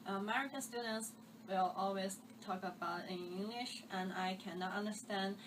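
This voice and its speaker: A female international student answers in plain, searching phrases. Her voice sits at a middle pitch. It comes from a distant mic with clear background noise. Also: moderate pace. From China.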